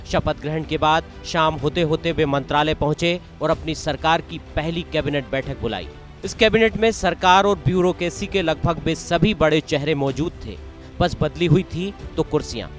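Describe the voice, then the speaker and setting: A man's voice: a little high, moderate pace. A man reading scripted news narration in a formal, emphatic way. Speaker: news presenter. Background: background music.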